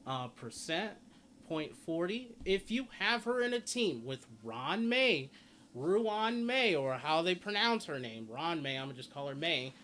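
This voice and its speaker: Man, fairly high voice, casual gaming guide narration, noisy background with game ambience. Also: sing-song, unhurried, bright, forceful tone. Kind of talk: casual game guide commentary, reading stats aloud. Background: game sounds and ambience.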